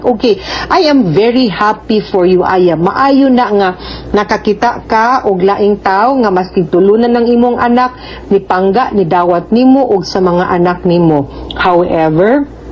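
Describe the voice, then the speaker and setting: A woman's voice: medium pitch, darkish tone. A woman with a Cebuano Filipino accent gives conversational legal advice as a radio host, speaking frankly and admonishing with frequent tag questions. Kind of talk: conversational legal advice to a letter sender and listeners. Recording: muffled audio with clear background noise.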